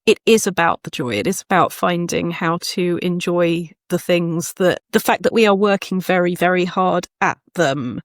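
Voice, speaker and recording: A woman's voice: on the low side, moderate pace, few pauses, dry recording, studio quality. A woman hosting a conversational podcast interview, speaking reflectively as if thinking a point through aloud. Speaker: podcast host, writing coach.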